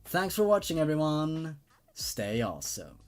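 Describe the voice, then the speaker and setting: Male host, fairly high voice, very lively intonation, rambling off-the-cuff review style. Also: a little slow, soft background music. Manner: hesitant, rambling, often qualifying opinions. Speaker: host of a story-reading channel. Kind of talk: casual spoken review.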